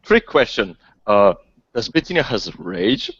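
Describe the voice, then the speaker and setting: Man, tabletop player, unhurried but hugely animated delivery, playful and jokey, dry muffled lo-fi sound. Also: mid-range voice, slightly rough, no echo. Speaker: player in a tabletop roleplaying game. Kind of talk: casual game chat, narrating his character. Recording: dull, close lo-fi microphone sound.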